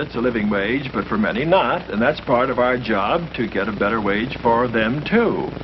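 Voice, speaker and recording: A priest speaking earnestly to a small group, with a lively, preacherly way of stressing his points. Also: mid-range voice. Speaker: priest leading a discussion. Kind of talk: earnest scripted speech in a 1951 short film. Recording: muffled old film soundtrack with hiss.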